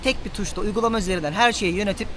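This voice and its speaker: A man's voice: high voice, racing, a bit bright. Chatty male travel vlogger, noisy outdoor sound. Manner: chatty, informal, addresses viewers directly. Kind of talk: casual travel vlog chat to camera. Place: outdoors and on the road. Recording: noisy outdoor audio with traffic ambience.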